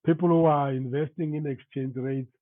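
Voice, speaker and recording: A man with a slightly rough voice, leading a training session informally, haltingly repeating words and putting questions to participants. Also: on the high side, fairly even intonation, no echo. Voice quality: slightly rough voice from recent flu. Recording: thin phone-like call audio.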